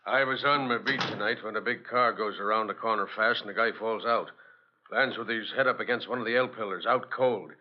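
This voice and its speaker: A man's voice: lowish voice, a little fast, close to an everyday voice. Man playing a plain-spoken old radio beat cop. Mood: earnest report. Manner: colloquial, deferential. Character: plain-spoken, dutiful male beat policeman reporting. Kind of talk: 1940s radio crime drama acting. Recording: dull old radio recording.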